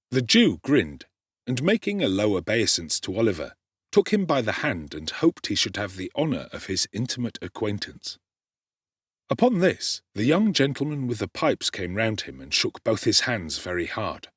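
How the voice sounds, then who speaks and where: Husky, expressive male narrator. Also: lowish voice, no echo, studio quality. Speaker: audiobook narrator and actor. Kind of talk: dramatic scripted narration of a classic novel.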